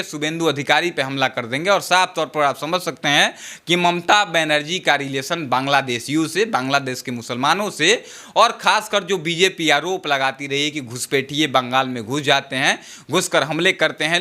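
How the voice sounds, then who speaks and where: A man's voice: on the high side, bright, forceful tone. A man presenting and explaining political news in an emphatic, repetitive way.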